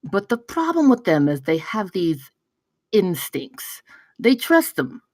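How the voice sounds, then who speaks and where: Woman playing brash storytelling pitchman, lively delivery. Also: takes it slowly, dry recording, clean studio sound. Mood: excited storytelling. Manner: emphatic, profane, conversational storytelling. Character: brash, excitable male pitchman spinning a campfire tale. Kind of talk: table read acting.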